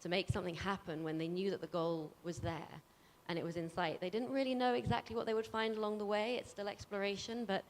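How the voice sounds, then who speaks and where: A woman speaking earnestly to an event, deliberate, in short emphatic phrases. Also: mid-range voice, fairly even intonation. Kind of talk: earnest public speech.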